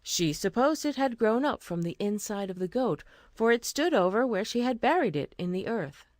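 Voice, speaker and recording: A woman narrating a scripted fairy tale as a storyteller, expressive and deliberate. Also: on the high side, no echo, clean studio sound.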